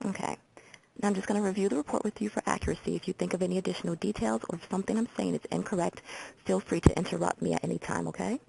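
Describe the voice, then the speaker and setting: A woman playing a procedural, businesslike hotline call taker with an American accent and a husky, creaky voice, in a simulated intake call. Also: on the low side, fairly even intonation, very fast, warm tone, slight background noise, low-quality recording. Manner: procedural, reads details back methodically. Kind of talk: simulated phone intake interview for training.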